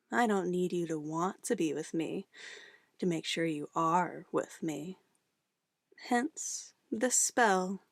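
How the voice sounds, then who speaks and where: A woman's voice: mid-range voice, creaky, dry recording, studio quality. A woman playing an obsessive, possessive witch in an ASMR roleplay, sweetly menacing and cooing in an intimate, theatrical way. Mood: confiding, sinister. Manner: intimate, cooing, theatrical, shifting between soft whispers and firm commands.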